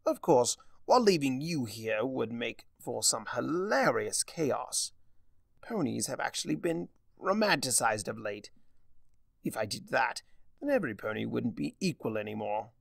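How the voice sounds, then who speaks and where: A man's voice: mid-range voice, sing-song, unhurried, creaky, no room sound, clean studio sound, exaggerated voice. A man voicing a gleefully mad, theatrical spirit of chaos who lectures in a smug, mock-cheerful way, in a story reading. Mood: smug menace.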